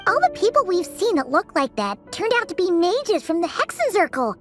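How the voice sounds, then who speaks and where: A woman voicing a tiny, childlike female sprite companion in a video game, curious and excitable, with a chirpy, exclamatory manner. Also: very high-pitched, normal speed, faint music behind, heavily acted. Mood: excited, curious.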